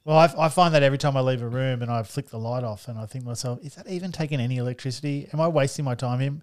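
A man's voice: mid-range voice, very fast, darkish tone, dry recording. Laid-back Australian man, dry sound. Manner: laid-back storyteller, swears freely. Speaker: podcast host. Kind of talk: casual chat.

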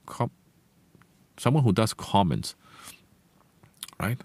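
A man with a very low voice, a native Spanish speaker, hosts a solo motivational podcast. He talks earnestly and conversationally, often restarting his sentences and adding "you know.". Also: big pitch swings, slow pace, darkish tone, breathy edge. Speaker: podcast host.

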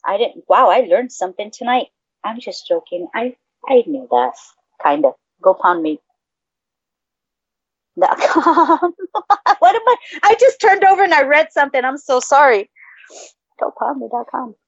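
A Mexican American woman from the Texas border co-hosting a casual podcast, excitable, laughing easily and swearing freely. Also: high voice, a little slow, long pauses, slightly rough, no room sound, highs cut off. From Texas border region, USA. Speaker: podcast co-host. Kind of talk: casual chat.

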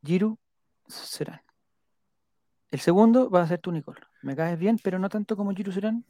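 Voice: medium pitch, moderate pace, warm tone, dry recording. Rambling Chilean live stream host, close dry sound. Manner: rambling, repeats names while jotting them down; playful, joking. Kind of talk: casual chat, reading out viewer comments.

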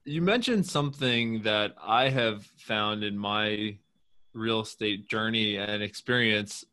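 A man's voice: on the low side, slow pace, bright, forceful tone, smooth. A man hosting a podcast, giving a tip and then asking questions in an upbeat, conversational way. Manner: enthusiastic, conversational, fillers. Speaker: podcast host. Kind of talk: podcast interviewing and tips.

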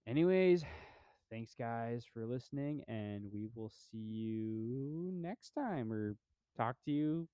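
A man's voice: mid-range voice, animated, slow pace, mellow, clear, resonant tone, no room sound, studio quality. A young man co-hosting a podcast, chatting casually about movies in a laid-back, rambling way with lots of fillers. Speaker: podcast co-host. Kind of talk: casual movie review chat.

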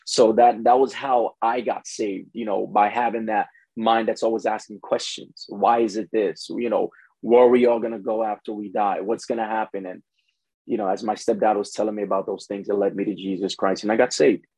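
A man's voice: fairly low pitch, fairly even intonation, a little fast, a little mellow, slightly rough, no echo, low-quality recording. A man from Ghana who grew up in the United States, speaking as a pastor and guest in a conversational interview, often saying "you know". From born in Ghana, grew up in the United States from preteens.

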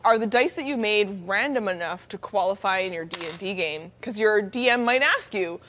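A woman's voice: medium pitch, normal speed, fairly bright tone, slight background noise. A woman chatting casually on a panel, enthusiastic and playfully argumentative. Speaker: panelist on a tabletop gaming talk show. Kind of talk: casual chat.

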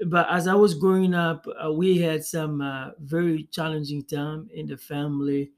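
A man's voice: a little high, level tone, normal speed. A man with a Congolese accent giving reflective interview answers as a guest pastor, hesitating and repeating words as he thinks.

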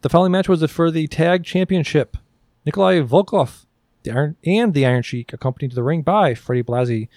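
A man's voice: fairly high pitch, lively intonation, brisk, a little mellow, no room sound, clean studio sound. A man co-hosting a podcast in casual banter, reading out facts between asides, irreverent and casually profane. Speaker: podcast co-host.

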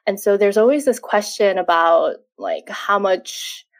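A woman's voice: mid-range voice, a little slow, gravelly. Young woman, chatty host, korean first language, casual talk. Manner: chatty, many fillers and laughs. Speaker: podcast host. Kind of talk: casual conversation.

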